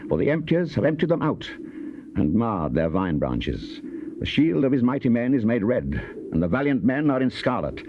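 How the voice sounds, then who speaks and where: Wrathful prophet, declamatory and solemn, dark warm tone, dramatized King James scripture on muffled tape. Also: medium pitch. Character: grave, wrathful Old Testament prophet proclaiming divine vengeance. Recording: dull, noisy cassette tape.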